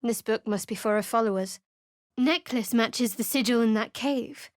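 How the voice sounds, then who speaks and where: Woman voicing a cool sarcastic young cleric for a game, bit high voice, dead dry studio sound. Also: moderate pace, no room sound, natural voice. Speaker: game character. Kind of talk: video game voice acting. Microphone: studio mic.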